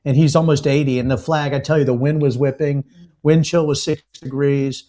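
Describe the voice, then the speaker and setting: A man who is a guest on a live remote interview, telling stories in a rambling way, halting with fillers. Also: fairly high pitch, fairly even intonation, mellow.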